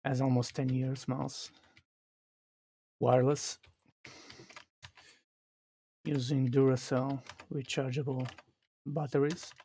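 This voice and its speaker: A man from Portugal with a Portuguese accent, explaining his game live in a halting way that restarts phrases. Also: mid-range voice, flat delivery, mellow, a little hiss or hum. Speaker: streamer. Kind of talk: live gameplay commentary.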